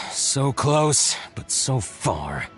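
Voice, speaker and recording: A man playing a dejected contestant in a video game, with drawn-out, sighing delivery. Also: on the low side, very animated, vocal fry, over music, heavily acted. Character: dejected male contest loser, glum and sighing.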